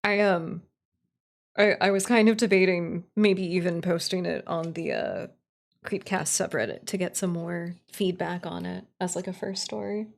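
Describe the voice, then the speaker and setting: Informal, excitable woman streamer. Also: lowish voice, moderate pace, vocal fry. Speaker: streamer, writer and voice actor. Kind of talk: casual livestream chat.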